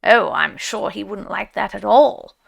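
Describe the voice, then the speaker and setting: A woman's voice: mid-range voice, unhurried, gravelly. A woman voicing a friendly but anxious male water rat in a storybook, emphatic and evasive. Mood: alarmed.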